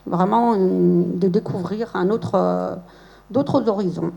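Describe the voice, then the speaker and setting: A woman's voice: mid-range voice, steady tone, slow, gravelly, mellow. A woman speaking as a parent at a school event, hesitant and sincere, with many fillers.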